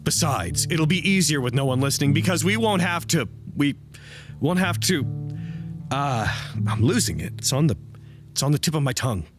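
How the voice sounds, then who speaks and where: An actor playing an earnest, weary radio broadcaster at a trapped research site in an audio drama, speaking formally and wistfully. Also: male voice, a little high, expressive, moderate pace, music in the background, lightly acted. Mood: earnest, resolute.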